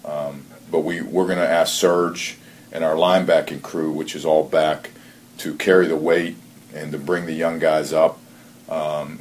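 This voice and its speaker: A man working as a football coach, giving earnest, measured interview answers on a conference call and often repeating his phrases. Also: a bass voice. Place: conference call, faint noise behind. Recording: noisy conference call audio.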